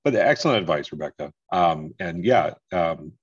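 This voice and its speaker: A man's voice: low-pitched, expressive, normal speed, slightly rough, no echo. A middle-aged American man hosting an online advising session, talking informally and explaining things directly to his audience. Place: indoors, on a video call. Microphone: video call microphone. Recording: dull, lo-fi video call audio.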